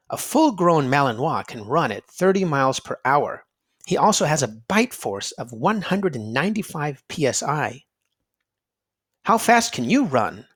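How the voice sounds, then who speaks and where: A man's voice: mid-range voice, a bit bright. A man reading a children's nonfiction storybook aloud, explaining emphatically to young listeners. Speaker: children's read-aloud narrator.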